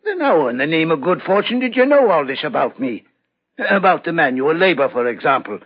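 A man's voice: fairly high pitch, very animated, fairly quick, slightly rough. An actor in a vintage radio drama playing a stout, simple, easily amazed middle-aged tradesman, folksy and exclamatory. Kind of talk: 1940s radio acting. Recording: muffled vintage radio broadcast.

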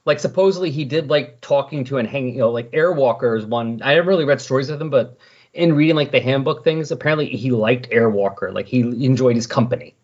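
Husky-voiced man chatting on a podcast. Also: mid-range voice, quick pace. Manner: rambling, self-correcting recap. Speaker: podcast host. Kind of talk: casual chat. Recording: dull, lo-fi sound.

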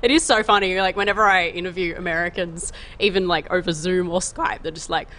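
A woman's voice: lowish voice, steady tone, a little fast, a bit bright, a little hiss or hum. An Australian woman interviewing a guest in a friendly, teasing way. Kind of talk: casual interview.

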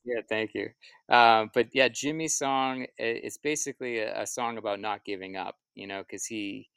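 A man talking casually as an interview guest, a musician telling stories in a halting, reflective way and often repeating his words as he goes. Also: lowish voice, fairly even intonation, gravelly, a bit bright, clear, resonant tone, no echo. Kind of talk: casual interview answers, storytelling.

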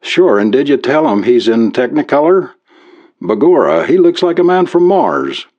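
A man's voice: medium pitch, very animated, normal speed, a little mellow, dull audio, pitched above the performer's own voice. A man voicing an indignant, grumbling Irish patrolman with an exclamatory, folksy way of talking. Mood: grudging, scornful.

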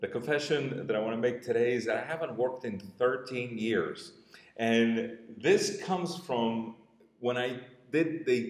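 A man in his sixties from Cuba with a Cuban Spanish accent, a business coach telling a personal story in an earnest way, slowing and softening at emotional moments. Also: medium pitch. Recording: roomy echo.

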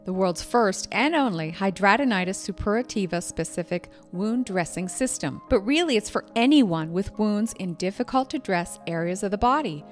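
Woman host, friendly and chatty, faint music underneath. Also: medium pitch. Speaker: podcast host, wound care nurse. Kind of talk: casual podcast chat and read sponsor spot.

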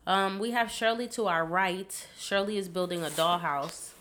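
A woman's voice: mid-range voice, moderate pace, fairly bright tone. A woman hosting a podcast in casual chat, a bit congested, rambling and giggly with lots of fillers. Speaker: podcast host.

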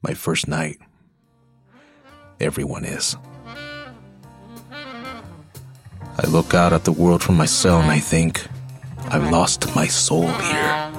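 An American man in middle age acting a world-weary, menacing yet tender ex-convict uncle in a radio drama, with a rough, husky voice. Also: deep voice, huge pitch swings, slow pace, pauses often, over music, full character voice. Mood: bleak, confiding.

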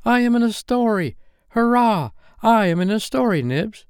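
A man voicing excitable little boys in a bedtime storybook, eager, boisterous and childlike. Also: a little high, moderate pace, no echo, studio quality, voice pushed up.

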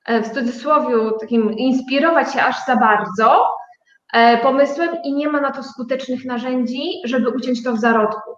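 A woman with a fairly high voice recounts her experience as an interview guest. She pauses and stretches words as she searches for them. The recording is slightly dull, with clear room echo. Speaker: guest, start-up co-owner. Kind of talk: conversational interview account. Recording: dull, roomy sound.

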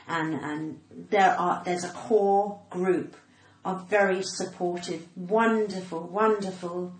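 A woman's voice: fairly low pitch, fairly even intonation, slow. A British woman in her sixties with a cut-glass, well-spoken voice, talking frankly and earnestly as an interview guest. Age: 60s. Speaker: podcast guest, stand-up comedian. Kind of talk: candid conversational interview. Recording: roomy echo, clear background noise.

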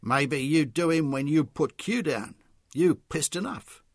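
A man's voice: on the high side, lively intonation, measured pace, no room sound, clean studio sound, voice pushed up. A man voicing a cocky pool hustler who speaks in broken English and snaps threats, in a storybook reading. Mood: menacing.

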